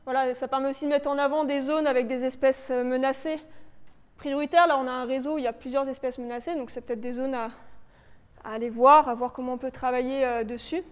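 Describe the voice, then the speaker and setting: Woman w high clear voice doing an informal talk, kinda hesitant n improvised, big reverby room. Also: steady tone, quick pace. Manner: hesitant, loosely improvised explaining. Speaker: presenter for a local authority biodiversity project. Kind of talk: informal spoken presentation with slides. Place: reverberant indoor meeting room, live audience presentation.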